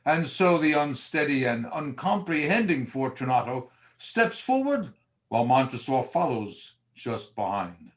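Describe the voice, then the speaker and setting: A man's voice: medium pitch, big pitch swings, a little slow. A man narrating a gothic horror drama from a script in a theatrical, storytelling style.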